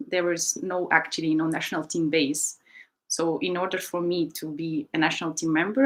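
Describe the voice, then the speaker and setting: Woman coach, 30s, lower voice, thoughtful interview telling with many fillers. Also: a bit bright. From Ukraine. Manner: reflective, frequent ums and you-knows. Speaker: artistic swimming coach. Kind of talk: interview storytelling.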